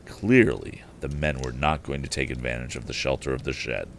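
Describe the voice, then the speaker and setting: A man's voice: very deep voice, moderate pace, vocal fry, a little hiss or hum. A man reading an old adventure novel aloud as a storyteller, expressively and with the occasional stumble. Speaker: storyteller on a live stream.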